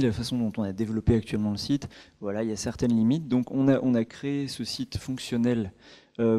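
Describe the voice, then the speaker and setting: A man presenting a book platform at a meetup, speaking haltingly, with many fillers and restarts. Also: mid-range voice, dark tone. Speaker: startup co-founder presenting at a meetup. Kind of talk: conference presentation.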